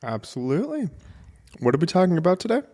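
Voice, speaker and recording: A man's voice: fairly high pitch, wildly swooping pitch, fast, dark tone. A man co-hosting a podcast in casual banter, with an informal, joking way of talking. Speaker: podcast co-host.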